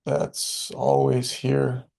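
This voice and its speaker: A man leading a guided meditation in a soothing, unhurried way, with spare phrases and long pauses between them. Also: mid-range voice, completely flat delivery, creaky, a little mellow.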